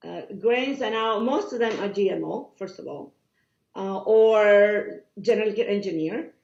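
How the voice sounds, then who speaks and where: A woman with a Cuban accent explains things emphatically, and a gravelly fry runs through her speech. The audio is dull and lo-fi, and it echoes around the room. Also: mid-range voice, normal speed, darkish tone. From Cuba. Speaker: guest doctor. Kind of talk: conversational interview.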